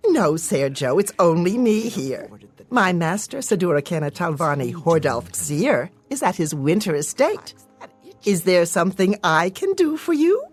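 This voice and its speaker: A courteous servant in a video game stage play. Her voice is on the low side and lively, formally theatrical. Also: a little slow, husky, soft background music, heavily acted. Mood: courteous, stagey.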